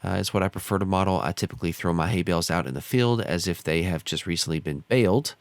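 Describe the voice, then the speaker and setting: An American man with a slightly hoarse, stuffed-up voice from a cold, giving easygoing, casual tutorial commentary as a channel host. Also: low voice, quick pace, no echo. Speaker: host of a model railroad simulator channel.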